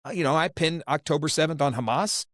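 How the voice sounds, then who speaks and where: A man's voice: fairly high pitch, fast, fairly bright tone, no room sound, studio quality. A man hosting a political podcast, opinionated and rambling, restarting his sentences often. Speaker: podcast host. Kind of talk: casual political discussion.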